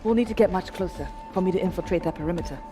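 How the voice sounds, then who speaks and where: A woman's voice: lowish voice, racing, natural voice. A woman playing a focused special forces scout in a video game, reporting in a terse, matter-of-fact way. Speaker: infiltration scout reporting to commander. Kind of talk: cinematic military game acting. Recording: loud music over the voice.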